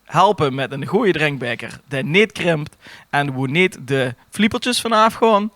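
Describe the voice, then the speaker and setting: A man's voice: on the high side, expressive, measured pace, a bit bright, no echo. A man with a Limburgish accent chatting casually as a podcast co-host. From Limburg, Netherlands.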